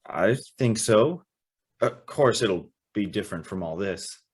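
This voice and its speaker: A man's voice: on the low side, expressive, a little slow, darkish tone, slightly rough. An actor playing an earnest, awestruck young man who also narrates an old-time radio drama. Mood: shy, smitten. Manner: hesitant, stammering in dialogue.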